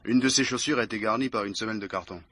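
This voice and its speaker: A low, husky police inspector from a radio mystery, with a forceful edge. He reports to his superior like a dutiful subordinate, factual and clipped. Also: rapid-fire. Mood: businesslike. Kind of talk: French radio mystery play acting.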